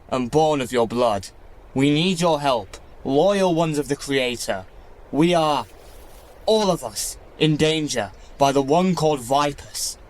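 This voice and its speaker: A man's voice: a little high, rough recording. A man playing an earnest, plain-spoken young titan prince in a fantasy audio drama. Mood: urgent appeal.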